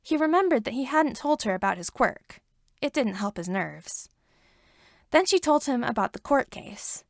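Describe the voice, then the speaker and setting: A woman narrates a story in a fairly high voice with noticeable vocal fry. The sound is dry and close, and she reads expressively, dropping in wry asides. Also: very animated, normal speed, no room sound. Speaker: podfic reader. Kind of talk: fan fiction narration, read aloud.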